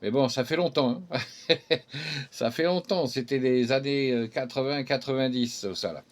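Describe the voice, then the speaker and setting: A man's voice: mid-range voice, unhurried. A middle-aged or older man chatting casually as an amateur radio operator, rambling along and tagging little filler words onto the ends of phrases. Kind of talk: casual radio contact chat.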